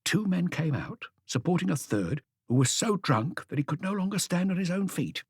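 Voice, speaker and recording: Man acting an agitated, eager, higher-pitched police inspector. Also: sing-song, normal speed, husky, dry recording, studio quality, exaggerated voice. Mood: eager, reporting. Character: excitable, eager male police inspector reporting breathlessly to boss.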